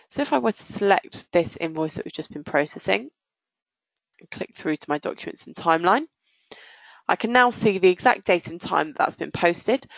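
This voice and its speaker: A woman presenting a software walkthrough in a methodical, explanatory way. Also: lowish voice, gravelly, dry recording, highs cut off. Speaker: software demonstrator.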